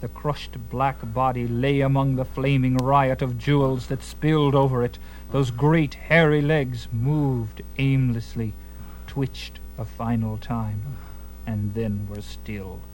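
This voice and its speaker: A man's voice: mid-range voice, steady tone, slow pace, rough recording. A man narrating a fantasy adventure in a theatrical, suspenseful and emphatic style, with music behind him.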